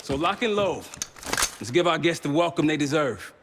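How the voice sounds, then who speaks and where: A man's voice: on the high side, lively intonation, normal speed, noisy background. An actor playing a hardened, resolute male squad leader in a military video game, giving orders with clipped urgency. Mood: rallying, resolute. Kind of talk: dramatic video game acting. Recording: loud dramatic music underneath.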